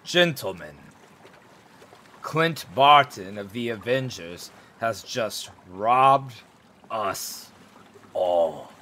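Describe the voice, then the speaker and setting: Man playing a deliberate, pompous crime boss. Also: fairly low pitch, animated, sharp tone, exaggerated voice. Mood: cold, controlled. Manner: measured, pompous. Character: cold, formal male crime boss. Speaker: voice actor in a fan motion comic.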